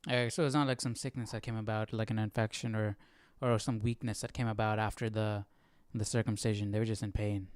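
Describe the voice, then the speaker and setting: A man's voice: lowish voice, flat delivery, fairly quick, hardly any pauses, slight background noise. A man hosting a casual Bible study, thinking aloud in a hesitant, questioning way and often checking in with the listener. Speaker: Bible study livestream host.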